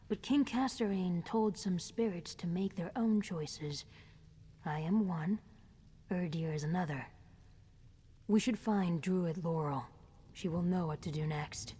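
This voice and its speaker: A gentle, ancient spirit of the green, very breathy and slow. She muses in halting, poetic phrases, dark and soft in tone, as if dreaming aloud in a long reverb. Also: on the low side. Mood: thoughtful. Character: gentle female nature spirit, ancient, musing, otherworldly. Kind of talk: video game character acting.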